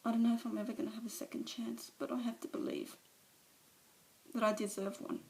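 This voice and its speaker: A woman's voice: fairly low pitch, level tone, moderate pace, natural voice, poor audio. A woman performing a monologue as a remorseful recovering alcoholic mother, speaking confessionally and sometimes dropping to a whisper, recorded on a phone camera. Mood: anguished.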